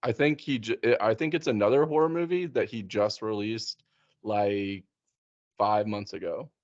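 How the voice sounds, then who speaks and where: Man, mid-pitched voice, tentative casual chat, musing, dry close sound, slightly dull audio. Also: normal speed, a little mellow, dry recording. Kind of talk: casual chat about films.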